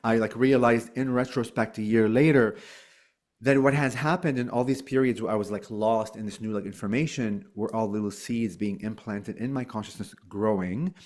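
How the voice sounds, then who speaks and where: A man's voice: on the low side, normal speed. Chatty Lebanese-born man in his forties. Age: 40s. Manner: animated, many fillers like "like" and "um". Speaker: guest. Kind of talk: casual conversational interview.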